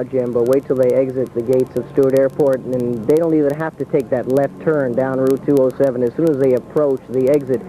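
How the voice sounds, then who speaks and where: A man working as a radio news reporter, reporting live from the scene in improvised, halting but formal phrasing. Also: mid-range voice, mellow. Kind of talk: live on-scene reporting and brief street interviews. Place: outdoors at an airport. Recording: muffled, noisy 1980s radio aircheck.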